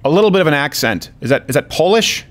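A man in his thirties hosting a studio talk show, joking and quick as he interviews a guest. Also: on the high side, big pitch swings. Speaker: show host. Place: studio with brick wall and neon sign. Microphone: studio mic on boom, headphones.

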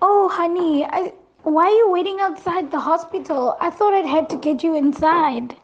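A woman's voice: very high-pitched, moderate pace. A woman playing a doting, worried partner in an amateur audio drama, fussing and repeating herself. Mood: concerned. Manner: fussing, repetitive. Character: doting, worried female partner of injured man. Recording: dull lo-fi recording, faint noise, clicks.

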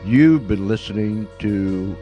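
A man's voice: on the low side, slow pace, mellow, highs cut off. A man hosting a radio show, chatting casually to listeners in a folksy way and repeating phrases for emphasis. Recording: music under the voice. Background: music.

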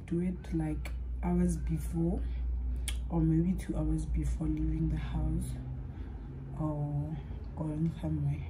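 A young South African woman chats casually to camera while she does her makeup. She talks in a rambling way, switches languages mid-sentence and trails off into soft asides. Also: low voice, noisy background. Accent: South African, mixing in Sepedi. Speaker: vlogger.

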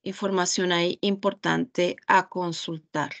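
A woman with a Mexican Spanish accent leading a webinar over a video call, walking through a website step by step in a deliberate, pausing way. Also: lowish voice, level tone. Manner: slow, deliberate, pausing often. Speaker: webinar trainer. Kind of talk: screen-share product demonstration.

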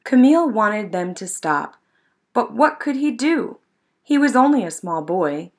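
A woman narrating a children's picture book in an expressive, measured storytelling manner. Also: fairly high pitch. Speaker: storybook reader.

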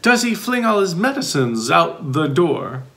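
A man's voice: fairly high pitch, sing-song, measured pace, a bit bright. A middle-aged man reading a children's picture book aloud expressively, with sing-song questions and playful asides. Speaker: volunteer storybook reader.

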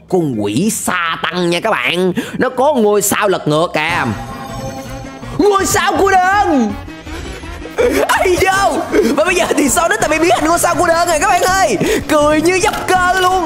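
A young man with a southern Vietnamese accent giving playful, exclamatory reaction commentary as a host, tossing in English interjections at a close microphone. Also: very high-pitched. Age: young adult. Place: small indoor streaming setup. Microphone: close desk microphone. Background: music.

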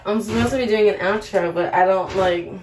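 A woman's voice: medium pitch, fairly quick, low-quality recording. Tipsy young woman rambling to camera. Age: 20s. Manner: rambling, earnest pep talk with many fillers. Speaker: vlogger. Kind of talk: casual vlog talk to camera. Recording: loud background noise.

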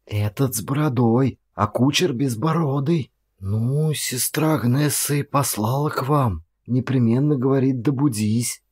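A man voicing a frightened, gossipy nun in an audiobook, telling her tale in hushed, breathless, folksy chatter. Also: medium pitch, a little slow, breathy edge. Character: frightened gossipy nun whispering urgently at night.

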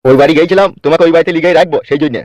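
A man's voice: fairly high pitch, rapid-fire, heavy background noise, natural voice. A man voicing a bossy, blustering local politician in a comedy cartoon. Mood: coaxing. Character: blustering, foul-mouthed male local politician.

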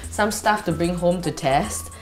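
A woman's voice: fairly low pitch, unhurried, faint music behind. A young woman from Singapore with a Singaporean English accent, chatting in a bubbly, giggly way as the host of a beauty show, filmed in a small apartment set. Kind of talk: casual vlog chat. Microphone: off-camera mic.

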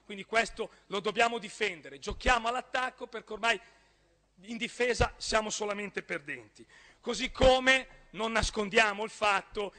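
A man in his thirties with a northern Italian accent addresses a council, speaking into a desk microphone and gesturing as he pushes his points. His voice is very bright and cutting, a little higher than most men's. The chamber adds a long, ringing reverb. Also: normal speed. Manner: forceful, rising to emphatic outbursts, gestures while speaking. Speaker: regional councillor. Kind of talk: parliamentary speech. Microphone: desk gooseneck microphone.

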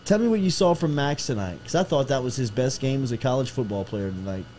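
A man's voice: mid-range voice, animated, quick pace, darkish tone, slight background noise. A man hosting a casual football chat, informal and often checking that others follow him. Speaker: sports show host.

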